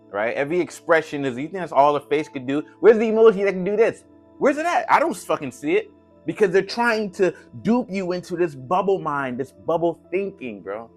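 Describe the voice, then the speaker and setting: A man giving an impassioned, informal esoteric lecture, slangy and profane, reading from a book and commenting, repeating phrases for emphasis. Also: medium pitch. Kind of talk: impassioned informal lecture with read-aloud passages. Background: music.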